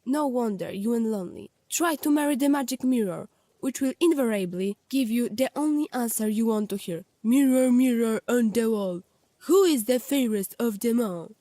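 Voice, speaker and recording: A woman's voice: a little high, normal speed, warm tone, dry recording, lightly acted. A woman voicing an indignant, sarcastic fifteen-year-old girl in an audio drama. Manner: sarcastic, exclamatory.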